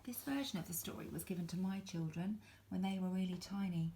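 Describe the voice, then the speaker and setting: Older woman, slightly low-pitched, expressive storybook reader, grandmotherly storyteller, talking straight to the listener. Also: brisk, slight background noise. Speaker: grandmother reading a Christmas story to viewers. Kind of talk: storybook read-aloud, poetry recitation. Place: indoors at home, beside a Christmas tree.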